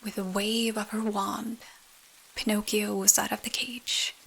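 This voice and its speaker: Soft, husky woman reading slowly, ASMR. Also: mid-range voice, level tone. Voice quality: soft, breathy, near whisper. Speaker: storyteller. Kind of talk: ASMR bedtime story narration. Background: rain sounds.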